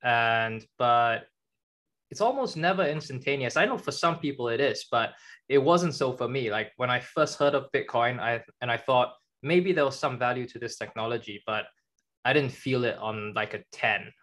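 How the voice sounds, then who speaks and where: A man's voice: medium pitch, fairly bright tone. Young man answering plainly on a headset. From born in China, grew up in Germany. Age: young adult. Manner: plain, measured, matter-of-fact. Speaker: guest, journalist and author. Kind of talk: interview answers on a video call. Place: home room with a kitchen behind.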